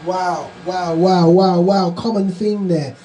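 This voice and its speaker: A male radio show host talking live, excitable and exclamatory, often repeating his phrases. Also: sits high, takes it slowly, a little hiss or hum.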